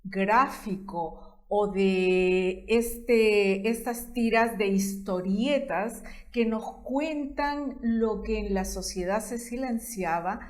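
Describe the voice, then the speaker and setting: A woman host, speaking in a measured way and filling with "diríamos". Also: medium pitch. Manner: warm-thanking, measured, uses "diríamos". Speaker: program host. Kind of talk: slow hosting and interviewing.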